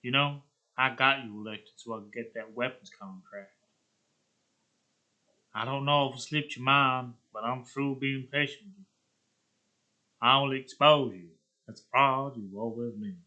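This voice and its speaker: A man's voice: mid-range voice, fairly even intonation, a little slow, long pauses, bright, forceful tone, lo-fi sound. A man voicing a scheming, impatient political blackmailer in a theatrical, threatening manner. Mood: smug threat.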